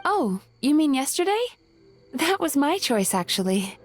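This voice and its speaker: A gentle young lady for an anime game. Her voice sits high and moves with lively inflection, while her manner stays mild and reasonable. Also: unhurried, faint music behind. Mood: hesitant.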